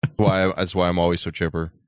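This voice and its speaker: Guy co-host, deeper voice, dry close sound, just casual podcast chat. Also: level tone, fast talker, smooth, no echo.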